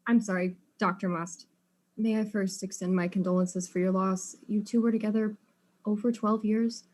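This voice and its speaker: Actress as murder-mystery detective, medium-pitched voice, courteous, inquisitive. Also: female voice, fairly even intonation, moderate pace, a little mellow, close to an everyday voice. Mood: sympathetic. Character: polite, probing detective questioning murder suspects. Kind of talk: acted mystery audio drama.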